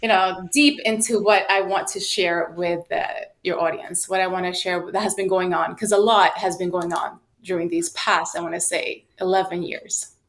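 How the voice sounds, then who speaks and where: A woman in her late thirties from the United States and Sweden, telling personal stories as an interview guest in an animated, emphatic way. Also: fairly low pitch, moderate pace, hardly any pauses. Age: late 30s. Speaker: podcast guest, sales professional.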